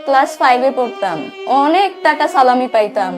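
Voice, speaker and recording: A woman's voice: high-pitched, expressive, moderate pace. A woman voicing a bossy, scheming older kid in a cartoon who talks in a wheedling, persuasive way. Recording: music bed under the voice.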